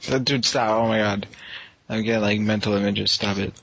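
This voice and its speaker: A man's voice: lowish voice, fairly even intonation, a little fast, vocal fry, a bit bright, highs cut off. A man hosting a podcast in a casual, conversational style. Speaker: podcast host.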